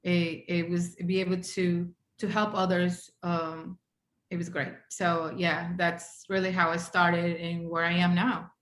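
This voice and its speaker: A woman's voice: lowish voice, little pitch movement, measured pace, fairly bright tone. A woman from Ecuador, a native Spanish speaker with an Ecuadorian accent, telling her story conversationally and animatedly as a podcast guest. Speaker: podcast guest, hotel housekeeping director. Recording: roomy, echoey sound.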